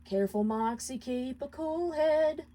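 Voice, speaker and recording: A woman as a snappy high-voiced imp wife. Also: lively intonation, measured pace, dark tone. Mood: warning singing. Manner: snappy, dismissive. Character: hot-tempered female imp wife, defensive and scolding. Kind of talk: dramatic storybook character reading.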